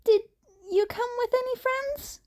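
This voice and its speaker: A woman's voice: very high voice, steady tone, measured pace, a little mellow, pitched above the performer's own voice. A woman voicing a cheerful, nervous, chatty teenage boy hero student who rambles hesitantly, in a fan fiction audiobook. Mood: cheerful, friendly. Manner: hesitant, rambling, eager to befriend.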